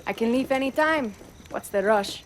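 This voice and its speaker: A woman playing a brash, irreverent young guerrilla recruit in a video game, with a casual, flippant, gravelly delivery. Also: fairly high pitch, normal speed, lightly acted. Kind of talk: video game character acting. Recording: clearly noisy background.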